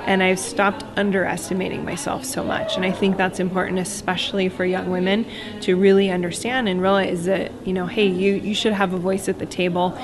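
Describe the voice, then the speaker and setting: A woman's voice: on the low side, level tone, fairly quick. A woman in cybersecurity reflecting steadily on career lessons in a conference interview. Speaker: cybersecurity professional. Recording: busy conference crowd behind.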